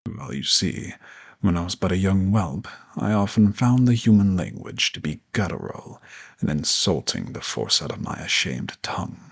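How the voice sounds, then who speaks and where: Audio roleplay: male dragon professor, man acting, ancient and condescending, deep gravelly growl, pompous formal diction. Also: sing-song, normal speed, exaggerated voice. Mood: haughty, mocking. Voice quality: gravelly, husky low voice. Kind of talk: scripted audio roleplay monologue addressed to the listener.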